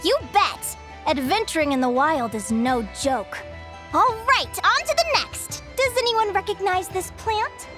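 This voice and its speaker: A woman voicing a young adventure advisor running a quiz, upbeat and teasing like a lively teacher, in video game dialogue. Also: very high voice, a little slow. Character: young female adventure advisor, bright and playful quizmaster. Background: game music.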